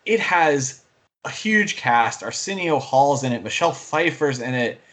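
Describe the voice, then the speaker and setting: Male podcast co-host, medium pitch, casual, enthusiastic, rambling. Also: expressive, a little slow, fairly bright tone. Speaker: podcast co-host and film fan. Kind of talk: casual movie discussion.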